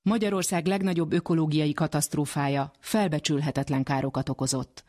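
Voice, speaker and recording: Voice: medium pitch, steady tone, darkish tone. A science radio reporter who interviews and narrates in a reportage style.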